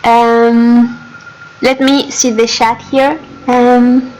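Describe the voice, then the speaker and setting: A woman's voice: on the high side, level tone, extremely slow, a little mellow, a little hiss or hum, low-quality recording. A young woman from Chile with a Chilean accent, a native Spanish speaker hosting a casual livestream as a game developer and talking hesitantly with many fillers and giggles.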